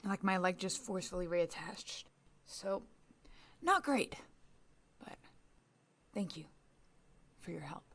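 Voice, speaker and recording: A woman's voice: lowish voice, animated, slow pace, long pauses, fairly bright tone, exaggerated voice. A woman playing a stubborn, proud captain in an audio drama, terse and insistent. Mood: weary, dry. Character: stubborn, proud female captain recovering from surgery.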